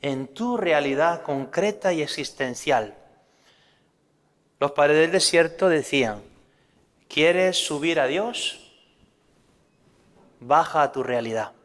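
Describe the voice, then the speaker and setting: A man's voice: fairly high pitch, lively intonation, slow pace, pauses often, a bit bright, in a large hall. A man from Spain with a Spanish accent, a priest giving a sermon in an earnest teaching tone, often asking rhetorical questions.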